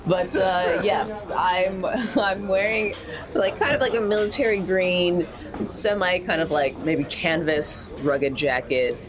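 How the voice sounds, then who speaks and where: Woman, low pitch, tabletop player, casual rambling delivery, jokey, background voices. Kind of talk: casual in-character and descriptive game chat.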